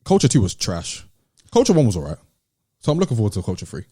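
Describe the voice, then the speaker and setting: A man's voice: on the low side, wildly swooping pitch, fast, warm tone, slightly rough, no room sound, clean studio sound. A British man hosting a podcast in casual, slangy, laid-back chat. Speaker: podcast host.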